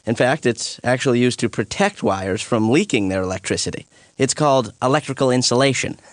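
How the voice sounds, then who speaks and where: A man's voice: medium pitch, expressive, fairly quick, dry recording, natural voice. A man voicing a laid-back, jokey science professor in a cartoon, explaining things in a breezy, casual way. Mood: patient explaining. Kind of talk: animated educational courtroom dialogue.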